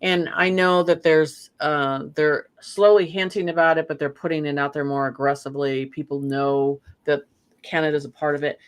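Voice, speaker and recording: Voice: medium pitch, little pitch movement, moderate pace, clear, resonant tone. A guest speaking earnestly in a casual chat, rambling with many fillers and restarts.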